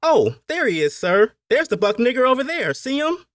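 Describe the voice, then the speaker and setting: A man's voice: very high voice, very animated, normal speed, a bit bright, no room sound, studio quality, voice pushed up, full character voice. A man voicing a crude, deferential young plantation overseer with a folksy Appalachian accent, in an audiobook story. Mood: eager, folksy. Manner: folksy, coarse, ungrammatical speech.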